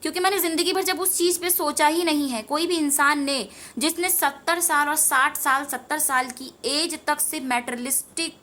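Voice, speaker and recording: A woman's voice: sits high, fairly even intonation, moderate pace, few pauses, pushed and bright. A woman giving an informal spiritual talk to viewers, earnest and rambling, repeating phrases for emphasis. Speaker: tarot reader and meditation teacher.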